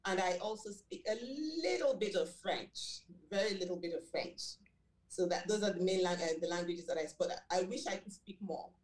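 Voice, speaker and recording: A middle-aged Nigerian woman whose first language is Yoruba, answering interview questions in a measured way with many fillers and self-corrections. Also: fairly low pitch, darkish tone, reverberant, poor audio. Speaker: guest, school director and behavior analyst.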